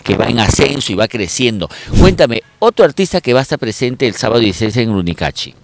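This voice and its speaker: A man's voice: mid-range voice, expressive, fast, creaky, dry recording. A man from Pucallpa with a Peruvian accent, hosting a chatty entertainment interview in a familiar, teasing way full of diminutives. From Pucallpa, Peru. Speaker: interviewer, show host.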